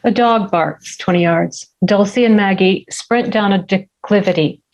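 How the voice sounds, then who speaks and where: A woman's voice: medium pitch, a little slow, a little mellow. A woman reading scripted screenplay stage directions as narrator at a table read. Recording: dull, lo-fi remote audio.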